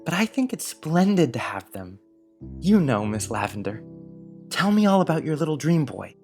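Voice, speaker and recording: A man voicing an earnest, dreamy boy of about eleven in a storybook reading, speaking gravely and confidingly. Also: a little high, sing-song, normal speed, a little mellow, faint music behind. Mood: earnest, eager. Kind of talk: dramatized audiobook character reading.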